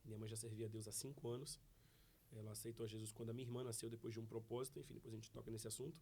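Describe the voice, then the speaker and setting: A young man in his twenties tells his faith story at a rapid clip. His tone is earnest and grateful, with a mid-range voice. A faint hiss runs behind him. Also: lowish voice, level tone, mellow. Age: 20s. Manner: grateful, earnest, fast-talking. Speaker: guest, young preacher. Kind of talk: personal testimony in conversation.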